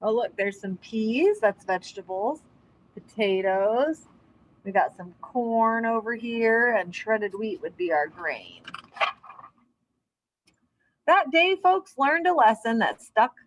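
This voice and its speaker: Animated woman reading aloud to children. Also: fairly high pitch, no room sound, poor audio. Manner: expressive, addresses young listeners with asides.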